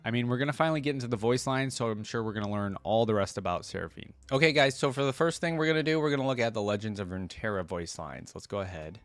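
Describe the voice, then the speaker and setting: A man hosting a reaction video, reading aloud and commenting casually in a chatty, expressive way. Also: mid-range voice, fast talker, hardly any pauses, no room sound.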